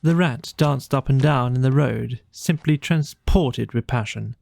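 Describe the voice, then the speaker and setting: Slow, creaky-voiced male storyteller. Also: mid-range voice. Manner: measured, expressive storytelling. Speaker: audiobook narrator. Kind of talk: scripted bedtime storybook narration.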